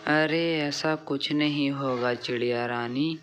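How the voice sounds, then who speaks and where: A caring husband bird from a cartoon moral tale, played by a man, gently chiding and reassuring. The voice is bright and clear-cut, unhurried, with faint background noise. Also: mid-range voice, fairly even intonation.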